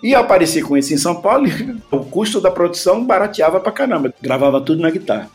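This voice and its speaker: A man, a musician telling his career story in a relaxed, chuckling way. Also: high voice, fast talker, soft background music. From Rio de Janeiro, Brazil. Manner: relaxed, chuckling, repeats phrases for emphasis. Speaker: guest musician. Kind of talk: casual storytelling interview.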